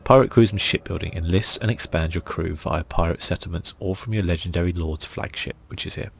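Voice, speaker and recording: A man hosting a gaming channel, speaking in a soft, hushed, relaxed ASMR style as he comments and reads aloud close to the mic. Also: fairly quick. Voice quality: hushed, breathy, creaky. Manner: relaxed, low-key.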